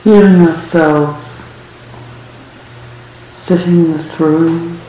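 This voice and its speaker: A woman teaches guided meditation in a soft-edged, mellow voice that stays very even in pitch. She is calm and leaves long pauses between short phrases. The recording is strongly echoey, with a faint hiss. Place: large room at a retreat center.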